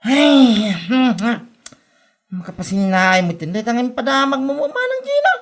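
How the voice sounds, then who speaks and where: A woman's voice: fairly high pitch, big pitch swings, measured pace. A woman playing a humble, easily flustered country suitor in a comedy audio drama, given to exclamations. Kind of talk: Ilocano comedy radio drama acting.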